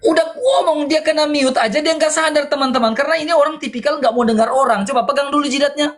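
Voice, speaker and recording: A man's voice: very high voice, fast talker. A man hosting a heated livestream debate, mocking and laughing at his opponent as he talks over him. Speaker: debate host. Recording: noisy stream with loud crowd.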